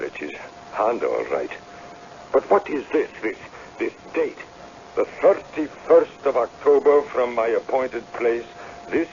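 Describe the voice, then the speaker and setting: Gravelly, blustering laird. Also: male voice, mid-range voice, a little mellow, heavily acted. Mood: suspicious. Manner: theatrical, commanding, blustering. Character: imperious, crafty, blustering old Scottish laird. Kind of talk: old-time radio drama acting. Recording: dull, hissy vintage radio broadcast.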